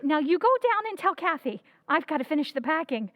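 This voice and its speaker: Wary newlywed wife, actress playing her, very high voice, dry close sound. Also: animated, brisk, a bit bright, no echo. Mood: warm. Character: wary newlywed woman, cool then warm. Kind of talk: 1940s radio acting.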